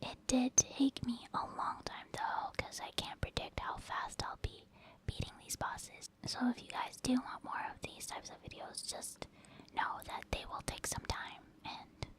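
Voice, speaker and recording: Female ASMR gaming host: breathy whisper, unhurried pace, gentle and even in tone. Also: high-pitched. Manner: gentle, unhurried, softly explaining. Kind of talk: soft-spoken whispered ASMR game commentary.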